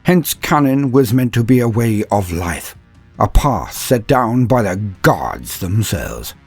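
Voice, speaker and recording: Husky man narrating a mock-epic tale. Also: lowish voice, sing-song, takes it slowly, faint music behind. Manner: grandiose, mock-heroic delivery with dry asides. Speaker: storyteller.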